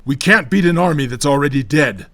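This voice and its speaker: Man voicing a forceful, shouting coyote war chief. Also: fairly high pitch, animated, a little fast, dry recording. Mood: anxious. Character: anxious, gruff bear-like male coyote war chief.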